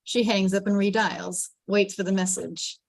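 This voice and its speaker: A woman's voice: medium pitch, steady tone, normal speed, creaky, dry recording. A woman reading scripted stage directions aloud as the narrator of a table read.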